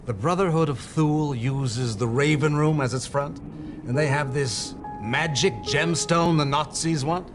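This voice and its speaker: An actor playing a stern, skeptical intelligence chief in a video game, with a formal, measured, dry delivery. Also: male voice, fairly high pitch, lightly acted. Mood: dry, incredulous. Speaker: superior officer. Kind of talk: video game cutscene acting. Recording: game dialogue over background music.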